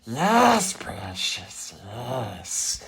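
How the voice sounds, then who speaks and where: A man voicing a small, wretched, fawning creature with a strangled raspy throat, hissing and muttering as he reads a children's storybook. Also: medium pitch, wildly swooping pitch, drawn-out words, fairly bright tone, heavily acted, poor audio. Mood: peevish muttering. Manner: hissing, erratic, muttering asides. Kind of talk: character reading of a children's storybook.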